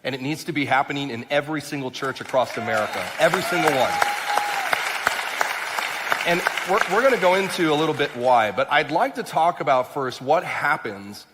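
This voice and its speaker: A man's voice: on the high side, lively intonation, a little fast, a crowd in the background, noisy background. A man who grew up in Mexico and Ecuador, a congressional candidate giving an emphatic talk with slides at a church, often repeating words and rising on key points. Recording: long hall reverb.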